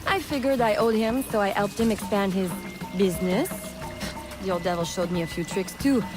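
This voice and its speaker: An actress playing a brash, loyal smuggler in a video game, frank and confident. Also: female voice, mid-range voice, normal speed, music in the background, lightly acted. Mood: wry, frank. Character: brash, loyal female smuggler, plain-spoken. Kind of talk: dramatic video game acting.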